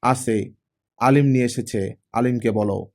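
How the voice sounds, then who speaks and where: A man voicing a proud, irritable older retired police chief and father in an audiobook drama, gruff and authoritative. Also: medium pitch, unhurried, darkish tone, close to an everyday voice. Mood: demanding.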